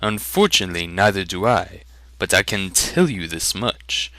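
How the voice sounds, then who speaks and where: A man voicing a smooth, courtly merchant in a fantasy audiobook reading, with a theatrical salesman's manner. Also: deep voice, lively intonation, moderate pace, bright, forceful tone, no room sound, full character voice, poor audio. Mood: puzzled. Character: smooth male trader, salesman-like, secretive, easily irritated.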